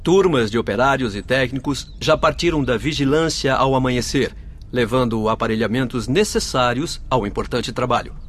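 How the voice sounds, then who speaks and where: A man plays an authoritative, kindly male doctor of the spirit world. His Brazilian voice sits at a middle pitch, formal and explanatory, in radio drama style. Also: moderate pace, slight background noise, lightly acted. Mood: explaining.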